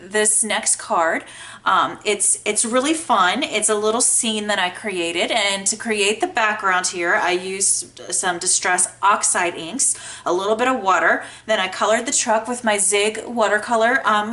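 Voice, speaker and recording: A woman's voice: mid-range voice, bright, forceful tone. A woman giving a casual craft tutorial in a chatty, enthusiastic way, her voice slightly scratchy and congested from a sinus infection. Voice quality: a little scratchy. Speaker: crafting tutorial host, card maker. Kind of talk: casual how-to craft demonstration.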